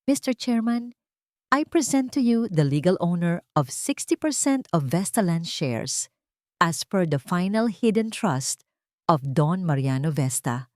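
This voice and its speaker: A Filipino-accented woman voicing a vengeful wronged wife in an audio drama, firm, deliberate and authoritative. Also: on the low side, sing-song, a little mellow, dry recording, studio quality. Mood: triumphant, authoritative. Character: commanding, vengeful wronged wife turned corporate owner.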